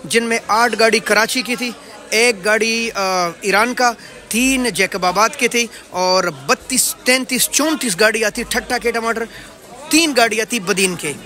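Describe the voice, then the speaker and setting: A man's voice: high voice, measured pace, sharp tone, a faint crowd. A man in his thirties with a Pakistani accent, presenting an informational market report in an earnest, projected way. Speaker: host of market rate updates.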